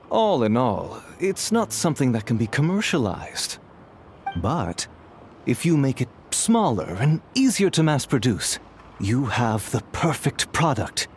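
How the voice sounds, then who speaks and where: A man's voice: medium pitch, a little hiss or hum. Smug, swooping suave male schemer. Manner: smooth, mocking politeness. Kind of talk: video game voice acting.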